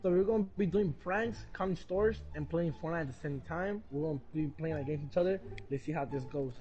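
A man's voice: fairly high pitch, a little fast, highs cut off, background noise. A young man making a rambling, profane prank call while gaming. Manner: rambling, profane, bursts into shouting. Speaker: vlogger and prank caller. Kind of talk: casual prank call chat.

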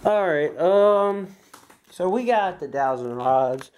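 Young man, congested, casual, excitable, fairly high voice, ghost-hunting vlog, handheld camera, abandoned house. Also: takes it slowly. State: congested after flu. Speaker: paranormal investigator host. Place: abandoned house at night, indoors.

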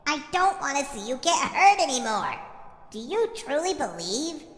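A woman's voice: high voice, very animated, normal speed, a bit bright. A woman voicing a small talking flower, an anxious friend with a fierce streak, emotional and emphatic in a dramatic comic dub. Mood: distressed, pleading. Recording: echoey reverb, music behind.

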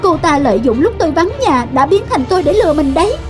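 A woman's voice: high-pitched, big pitch swings, fast, soft background music. A woman playing an indignant, emotional young peasant wife in a cartoon, speaking insistently. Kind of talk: Vietnamese cartoon dialogue.

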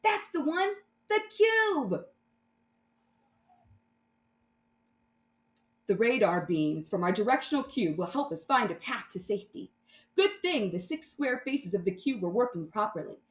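A woman's voice: on the high side, very animated, moderate pace, reverberant. A woman voicing a bold, dramatic boy space hero in a children's storybook, in a theatrical, exclamatory manner. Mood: confident. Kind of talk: children's storybook read-aloud.

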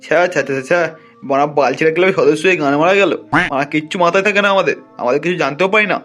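A man's voice: on the high side, rapid-fire, fairly bright tone, soft background music, lightly acted. A man playing a brash, foul-mouthed village gang leader in a comic cartoon, scolding and blustering colloquially.

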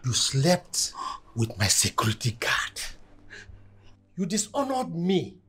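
Stern betrayed husband, middle-aged, slow deliberate delivery, hugely varied pitch, screen melodrama. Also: male voice, huge pitch swings, fairly bright tone, slightly rough, exaggerated voice. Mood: wounded anger. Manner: slow, dramatic, accusing.

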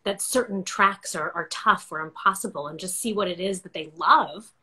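A woman's voice: lowish voice, moderate pace, pushed and bright. A woman in her forties hosting a conversational interview, reflecting and summing up as she goes. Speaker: interview host. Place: indoor home room with bookshelves, video call.

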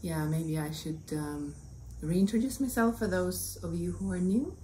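Russian-accented woman, low and unhurried. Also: mellow, a little hiss or hum. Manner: friendly, chatty, gestures with both hands. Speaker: podcast host. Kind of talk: casual chat to camera. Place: indoors, small home room.

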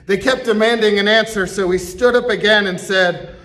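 A middle-aged pastor reads scripture in a church sanctuary, pacing it slowly and leaning on his pauses. His voice sits a bit high for a man. The camera picks him up from a distance. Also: bright, forceful tone. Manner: deliberate, slow reading with emphatic pauses. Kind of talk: reading scripture aloud in church.

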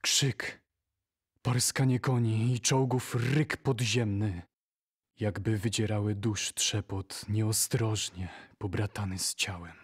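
Male reciter for audio drama: husky, very unhurried, solemn and measured. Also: fairly low pitch, fairly even intonation. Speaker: poetry reciter.

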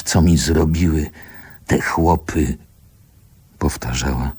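An older male actor playing a reflective poet recalling his youth in a radio drama, speaking in a measured literary manner. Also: rumbling low. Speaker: narrator and protagonist.

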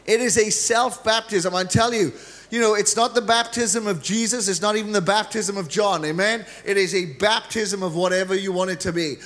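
A middle-aged man with a Welsh accent delivers an energetic, humorous sermon, his voice sitting notably high for a man. He speaks into a handheld mic on a large church stage. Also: moderate pace. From Wales. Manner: energetic, joking preacher, gestures freely while pacing the stage. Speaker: guest preacher.

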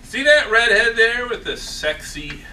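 A man playing a boastful, girl-chasing coffee shop worker at a comedy table read, with a brash, drawn-out delivery. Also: very high-pitched, very animated, bright, forceful tone, reverberant, heavily acted. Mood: leering, smug. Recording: distant camera mic, faint noise.

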